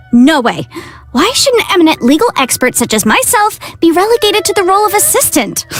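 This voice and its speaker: Game role: young female legal adviser, high register, very animated delivery, self-assured, articulate, proud and chatty. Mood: proud, huffy. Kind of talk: scripted video game character monologue. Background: music.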